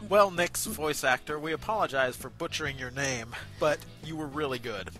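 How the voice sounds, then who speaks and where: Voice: mid-range voice, normal speed, a bit bright, soft background music. An amateur web review host with a chatty, playfully mock-formal manner, moving between casual banter and announcements.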